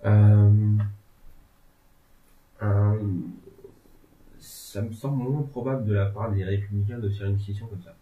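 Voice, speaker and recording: A man talks through politics in a loose, meandering way, stopping often to hesitate and toss in jokes. His voice sits low. The sound is thin and phone-like, with a roomy echo. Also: steady tone, measured pace, long pauses, slight background noise. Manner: rambling, many hesitations, joking asides. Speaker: legal and political commentator. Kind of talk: casual discussion. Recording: tinny phone-like sound in an echoey room.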